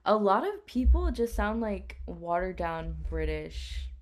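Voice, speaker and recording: A young woman talks slowly and easily, like a friend catching up. Her speech is loose and casual, full of fillers, with the odd swear word. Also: mid-range voice, sing-song, over a noisy crowd. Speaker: podcast co-host. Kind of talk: casual chat.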